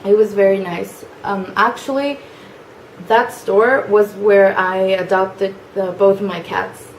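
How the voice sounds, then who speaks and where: A nineteen-year-old woman from Mexico with a Mexican accent, a native Spanish speaker, introducing herself in English at home in a casual video, speaking softly and hesitantly with many fillers. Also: medium pitch, fairly even intonation, slow, roomy sound, slight background noise, poor audio. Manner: soft-spoken, hesitant with frequent fillers. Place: family home.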